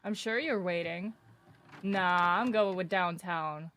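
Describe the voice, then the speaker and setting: A woman's voice: fairly low pitch, takes it slowly, a bit bright. A woman reacting on a livestream in a casual, playful way, talking back to the video she watches. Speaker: streamer reacting to a horror series.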